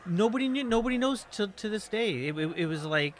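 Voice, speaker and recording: A man's voice: high voice, expressive, brisk. A middle-aged man hosting a casual interview, asking curious questions and often repeating words as he searches for what to say. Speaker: host.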